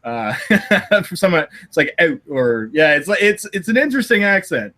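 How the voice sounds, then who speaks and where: A Canadian man with a bright, slightly high voice chats on a podcast over a video call. He laughs a lot between thoughts and tends to mumble and restart his words. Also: animated, moderate pace. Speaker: podcast host.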